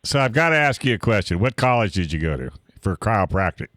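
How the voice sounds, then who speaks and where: A man's voice: lowish voice, big pitch swings, fast talker, a bit bright, no echo. A man working as a radio host, speaking in a casual, conversational on-air style. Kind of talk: casual radio chat and sponsor reads.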